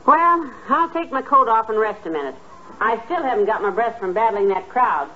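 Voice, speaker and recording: Animated, sensible middle-aged wife in old radio comedy; expressive pitch, slightly hissy sound. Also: female voice, normal speed. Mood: flustered. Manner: animated, emphatic. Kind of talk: 1950s radio comedy acting. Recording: muffled, noisy old radio recording.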